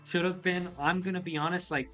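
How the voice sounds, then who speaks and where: A young man co-hosting a casual podcast, excitable and quick to swear, sometimes mimicking others in a sing-song voice. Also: high-pitched, soft background music. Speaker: podcast co-host. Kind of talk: casual chat.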